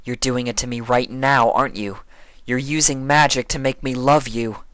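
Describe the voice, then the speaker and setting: Guy doing a young girl unicorn pony, earnest and accusing, voice pushed up high, dry recording. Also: fairly even intonation, moderate pace, a bit bright, clear, resonant tone, no room sound, close to an everyday voice. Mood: appalled, accusing. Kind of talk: fan fiction character reading.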